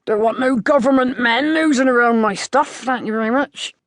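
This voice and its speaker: A woman narrator plays a squat, older countryman in an audiobook story. He is gruff and hostile, cursing, and pushes his words out loudly in a dry, close recording. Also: a little high, no room sound, voice pushed up, natural voice. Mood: grumbling, defiant. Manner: gruff rustic talk, slow and hostile, cursing. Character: surly, hostile, short squat older male farmer.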